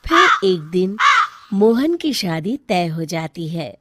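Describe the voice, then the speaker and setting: A woman's voice: on the low side, big pitch swings, takes it slowly, a bit bright, no echo, clean studio sound. A woman narrating a scripted story as its storyteller.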